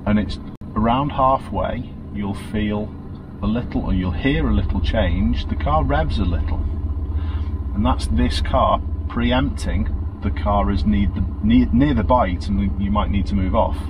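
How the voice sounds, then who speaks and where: Middle-aged man, driving instructor, steady and reassuring, recorded inside a car with road noise. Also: lowish voice, unhurried, dull audio. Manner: calm, encouraging, checks understanding often. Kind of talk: patient step-by-step instruction. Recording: noisy car interior.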